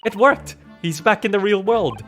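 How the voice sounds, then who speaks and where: A man's voice: high voice, very animated, normal speed, a bit bright, faint music behind, pitched above the performer's own voice. A man voicing a polite, concerned young woman legal assistant in a video game, earnest and proper. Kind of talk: game dialogue read aloud in character.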